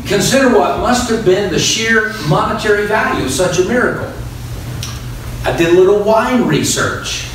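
An older American man preaching a sermon as guest bishop, measured and formal, with deliberate pauses. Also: high-pitched, a bit bright. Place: church sanctuary, indoors. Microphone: far camera across the church. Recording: echoey church, distant mic, noisy.

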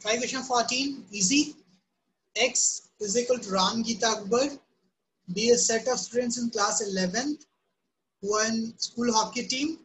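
A woman's voice: a little high, moderate pace, a bit bright, low-quality recording. A woman teaching a live online math class, often asking "right?" and "okay?" to check on students. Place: home room.

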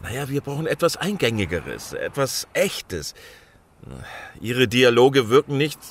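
An actor playing a dismissive, hedging program boss in an audio drama, hesitant and patronising. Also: male voice, medium pitch, very animated, a little slow. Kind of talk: naturalistic audio drama acting.

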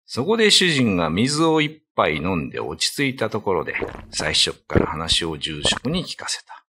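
A man's voice: deep voice, huge pitch swings, moderate pace, background noise. A man narrating a scripted ghost story as a dramatic storyteller. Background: background music.

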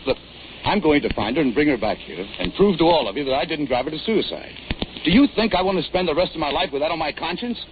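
An actor in 1940s radio drama playing an agitated, stubborn store manager, urgent and insistent. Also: male voice, on the high side, animated, fast, lightly acted. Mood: desperate, determined. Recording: tinny, noisy 1940s radio recording.

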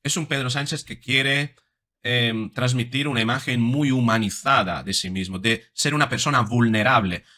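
A man's voice: mid-range voice, moderate pace, bright, forceful tone. A man in his forties giving explanatory, analytical commentary as a psychologist, often checking in with short tag questions, close on a desk microphone. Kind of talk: analytical commentary on body language. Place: small room indoors. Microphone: desk microphone close in front.

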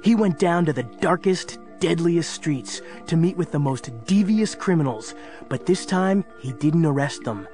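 A man's voice: on the high side, animated, a little slow, darkish tone. A man narrating an audio story in a dramatic, scripted storytelling style. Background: music.

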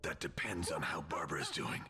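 An actor playing a stern, gravelly-voiced superhero leader in an animated game, terse, commanding and deadpan. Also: male voice, extremely low pitch, moderate pace. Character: stern gruff male superhero leader in a mask. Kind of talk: animated game cutscene acting. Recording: loud music behind.

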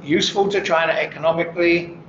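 A man in his seventies, a retired official, answering interview questions in a measured way that hedges and qualifies each point. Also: a little high, fairly bright tone, echoey room, dull audio, slight background noise. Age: 70s. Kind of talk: studio interview conversation. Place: green-screen TV studio, seated.

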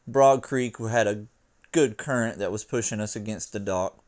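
A man's voice: on the low side, no echo. A man in his forties, narrating a casual boat vlog and talking to the camera from his sailboat. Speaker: vlogger. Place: sailboat outdoors on the water. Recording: background music under the voice.

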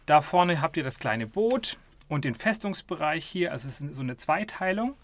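Higher-voiced man reviewing a model. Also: moderate pace. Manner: explains calmly while handling and turning a model. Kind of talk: casual hands-on review.

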